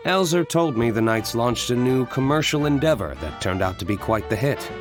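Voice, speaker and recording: An actor playing a composed, reserved young wine tycoon in an anime game, speaking in a measured, formal and cool manner. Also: male voice, medium pitch, expressive, over music, natural voice.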